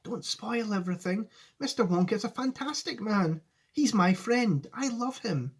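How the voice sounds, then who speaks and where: Woman playing an earnest, high-voiced young boy. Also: sing-song, a little fast, slightly rough, full character voice. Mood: pleading. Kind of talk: acted storybook reading.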